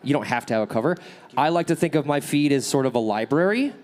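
A man's voice: mid-range voice, quick pace. Nasal man coaching a live crowd. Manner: energetic, coaching, checks in with "okay?" and "right?". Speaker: workshop speaker. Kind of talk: live workshop talk answering questions. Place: event stage.

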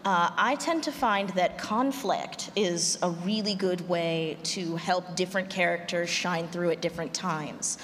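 Lower-voiced woman panelist in an echoey hall. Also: measured pace, hardly any pauses, fairly bright tone. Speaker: panelist, audio drama creator and actor. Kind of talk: panel talk to an audience. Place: large room at a convention panel. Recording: echoey hall, clear background noise.

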